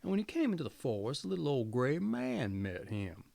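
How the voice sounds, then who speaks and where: Creaky, animated male fairy-tale storyteller. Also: mid-range voice, fairly quick, no echo. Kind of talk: fairy tale narration, read aloud.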